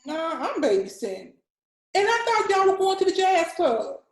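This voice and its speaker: A woman's voice: very high-pitched, big pitch swings, normal speed, echoey room, exaggerated voice. A woman playing a crude, funny, slangy babysitting friend in a comedy script table read. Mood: breezy, teasing. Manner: blunt, slangy, sassy.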